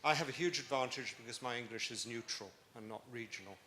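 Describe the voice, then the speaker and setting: A man's voice: mid-range voice, quick pace, sharp tone, slight background noise. Older man asking from a roomy hall. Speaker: audience member, emeritus professor. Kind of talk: audience question at a conference.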